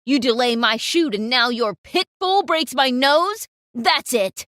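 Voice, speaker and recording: A woman voicing a sneering, shouting male photographer. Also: on the low side, expressive, normal speed, no room sound, clean studio sound, pitched below the performer's own voice, lightly acted. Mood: raging. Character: rude, insulting male fashion photographer.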